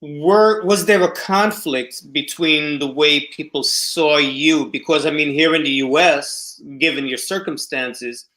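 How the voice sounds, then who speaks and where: A man's voice: on the high side, unhurried, hardly any pauses, bright, forceful tone. A man from New York hosting a casual video call conversation, asking questions with hesitant phrasing. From New York City. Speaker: host.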